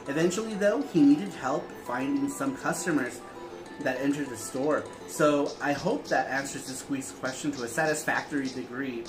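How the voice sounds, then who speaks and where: A man's voice: mid-range voice, reverberant, rough recording. A man co-hosting a show, chatting casually, laughing often and reading long viewer letters aloud. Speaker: co-host reading viewer answers.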